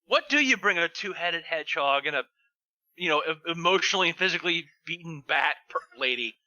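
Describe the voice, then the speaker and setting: A man with a high, sharply bright voice and animated intonation. He comments casually and comically, often in a mock-serious, joking way. The sound is close and dry. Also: a little fast, no echo, highs cut off. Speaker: fan fiction podcast reader.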